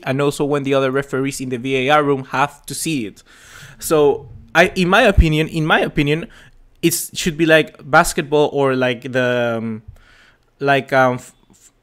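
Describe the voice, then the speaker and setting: This is a young man from Peru running a live football reaction stream. His voice sits a little on the high side. He talks with heated passion and plenty of exasperation, rambling and circling back over his own words. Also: fairly bright tone. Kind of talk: live match reaction chat.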